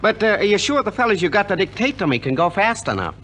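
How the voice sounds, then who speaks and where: A man's voice: high-pitched, sing-song, quick pace, a bit bright. An actor playing a brash, cheeky young showman in a 1950s radio drama. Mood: cheerful bragging. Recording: noisy early 1950s radio recording.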